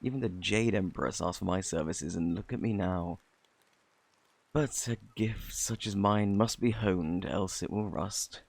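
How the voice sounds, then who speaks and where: A man with a British accent playing a flirty, eccentric fortune teller in a fantasy ASMR roleplay, with a theatrical, boastful, easily flustered manner and a husky, gravelly voice. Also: low-pitched, unhurried, exaggerated voice. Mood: boastful, exasperated. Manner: theatrical, flustered, coaxing, old-fashioned phrasing. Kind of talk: ASMR roleplay, intimate scripted acting.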